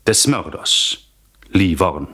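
A man narrating a radio crime play as a scripted recap, wry and deliberate, with dramatic pauses and emphasis. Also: low voice, expressive, very noisy.

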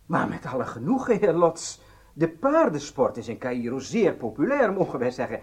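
An actor playing an obliging, ceremonious hotel director in a radio play, with a formal and fawningly polite manner. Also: male voice, on the high side, very animated, normal speed, darkish tone, breathy edge, heavily acted. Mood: obliging, deferential. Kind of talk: Dutch radio play acting.

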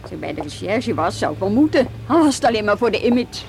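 An actress playing a self-possessed, teasing police inspector in a radio drama, dryly humorous. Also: female voice, medium pitch, sing-song, fast, vocal fry, darkish tone, a little hiss or hum. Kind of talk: naturalistic radio drama acting.

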